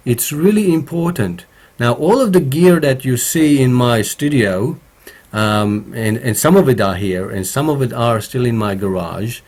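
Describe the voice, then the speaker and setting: A man with a slightly croaky voice hosting a casual live stream from his home studio, talking slowly with many pauses and fillers. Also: mid-range voice, darkish tone. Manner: slow and halting, many fillers. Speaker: home studio streamer answering viewer questions.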